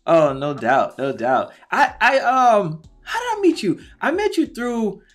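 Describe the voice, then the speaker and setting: A man's voice: high voice, big pitch swings, a little slow, a bit bright. Hyped, chatty man hosting a talk show. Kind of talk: casual interview chat.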